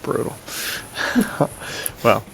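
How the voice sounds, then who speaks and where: A man's voice: very slow, a bit bright, slight background noise, poor audio. A man co-hosting a podcast in casual, slangy chat. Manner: informal, slangy. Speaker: podcast co-host.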